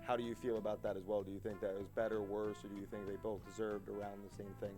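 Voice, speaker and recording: A man's voice: on the low side, flat delivery, racing. A young man hosting a campus street interview, reading his questions formally. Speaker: student interviewer. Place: outdoors on a college campus. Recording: music playing underneath.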